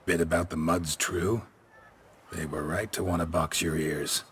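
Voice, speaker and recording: An actor playing a gruff, laconic monster hunter in a video game, with a gravelly, hushed voice and deadpan, clipped delivery. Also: male voice, low-pitched, a little slow, slight background noise. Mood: dry, unruffled. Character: gruff, laconic male monster-hunting mercenary, world-weary and unflappable. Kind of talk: video game cutscene acting.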